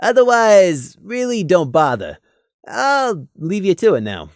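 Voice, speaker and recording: A narrator voicing a gruff but caring male pony with a frank, grumbling manner. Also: mid-range voice, very animated, unhurried, a little mellow, no echo, clean studio sound, voice pushed up. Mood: frank advice. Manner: frank, grumbling, awkwardly candid.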